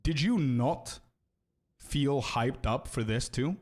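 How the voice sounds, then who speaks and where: A young man streaming a video game and chatting casually with viewers, loose and friendly, often calling them bro and repeating words as he thinks out loud. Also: mid-range voice, expressive, takes it slowly. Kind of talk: casual live-stream chat, answering viewers.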